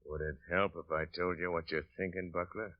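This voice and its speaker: An actor playing a mysterious crime-fighting man in an old radio drama, speaking calmly and with command. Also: sits low, close to an everyday voice. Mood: probing. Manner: calm, measured, commanding. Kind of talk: 1940s radio acting. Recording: dull old radio broadcast.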